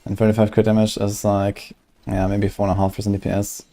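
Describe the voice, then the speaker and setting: Low-voiced man musing over a game. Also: completely flat delivery, fast, mellow. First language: German. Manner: musing, calculating aloud. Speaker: streamer. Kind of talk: casual gameplay commentary.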